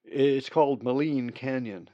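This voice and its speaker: A man voicing a calm, helpful raven guide, speaking plainly. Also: mid-range voice, measured pace, no room sound, close to an everyday voice. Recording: dull lo-fi recording.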